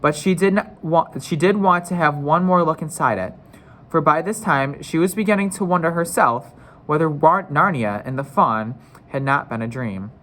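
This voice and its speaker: A man's voice: fairly high pitch, normal speed, a bit bright. A man narrating a children's storybook as a read-aloud, careful, with the odd stumble and re-read phrase. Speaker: story reader.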